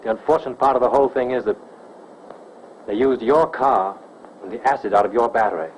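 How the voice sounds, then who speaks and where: An actor playing a devoted, earnest young male sleuth who jokes gently, in a 1930s film. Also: mid-range voice, fairly quick, close to an everyday voice, rough recording. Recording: old film soundtrack with faint hiss.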